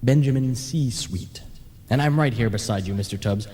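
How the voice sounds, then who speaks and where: An actor playing a chatty, peevish, matter-of-fact ghost in a folksy old-time radio comedy manner, with hollow reverb on the voice. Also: male voice, medium pitch, moderate pace, dark tone, lightly acted.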